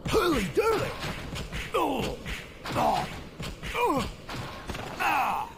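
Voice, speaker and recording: An actor playing a greedy, oafish prison guard in a comic game cutscene, gravelly and with an Australian accent. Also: male voice, very high-pitched, huge pitch swings, extremely slow, shouting, background noise, heavily acted. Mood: howling in pain.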